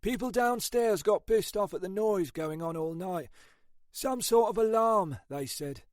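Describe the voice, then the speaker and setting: Man playing weary blunt cop, gloomy, slow, dry sound. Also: high-pitched, animated, darkish tone, no room sound, pitched above the performer's own voice. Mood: grim.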